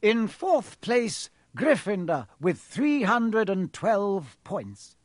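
A man voicing a genial, whimsical old headmaster in a storybook, speaking in a slow, stately, oratorical way. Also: high-pitched, sing-song, no room sound, studio quality, voice pushed up, exaggerated voice. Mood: cheerful, ceremonious.

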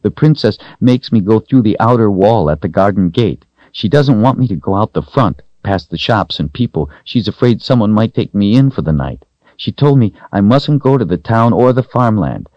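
A man's voice: lowish voice, lively intonation, normal speed, no room sound, dull audio, voice pushed up, close to an everyday voice. A man voicing a timid, earnest, plucky little servant girl in a storybook, with simple childlike phrasing. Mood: earnest, confiding.

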